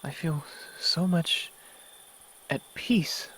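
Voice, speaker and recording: A man's voice: a little high, extremely slow, bright, forceful tone, heavily acted. A man voicing a kind, deferential young unicorn student in a radio drama, earnest and hesitant. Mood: awed. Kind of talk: fan-made radio drama acting.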